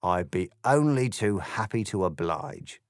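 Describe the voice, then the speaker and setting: A man's voice: low-pitched, lively intonation, measured pace, no room sound, studio quality. A man doing a blustering grinning cat. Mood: ranting. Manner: blustering, loud and indignant. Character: smug grinning male cat, pompous political ranter.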